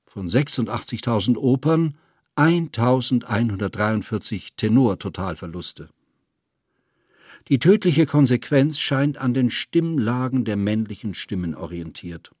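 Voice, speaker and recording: A man's voice: mid-range voice, lively intonation, unhurried, a little mellow, breathy edge, dry recording, studio quality. A man narrating a scripted literary text in a formal, matter-of-fact way.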